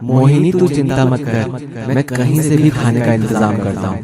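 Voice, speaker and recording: A man playing an earnest, responsible orphaned older brother in an animated moral story. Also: medium pitch, normal speed, crowd noise, close to an everyday voice. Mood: reassuring.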